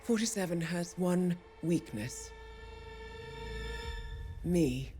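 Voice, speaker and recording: An actress playing a poised, calculating female intelligence handler in a game cutscene, composed, clipped and deliberate. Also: lowish voice, lively intonation, warm tone. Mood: cool bargaining. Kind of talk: cinematic game cutscene acting. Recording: music behind the voice.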